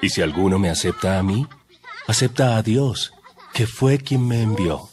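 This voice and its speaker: A man's voice: on the low side, animated, normal speed, background noise, lightly acted. A man playing a solemn, authoritative religious teacher in an audio Bible drama. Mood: solemn, instructive. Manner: solemn, instructive. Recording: music under the voice.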